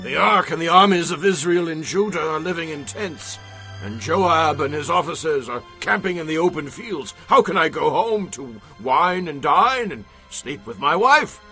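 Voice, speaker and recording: A man's voice: fairly high pitch, expressive, moderate pace, bright, forceful tone, soft background music. An actor playing a solemn, principled man of ancient Israel in a dramatized audio Bible, earnest and declamatory. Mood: indignant, loyal.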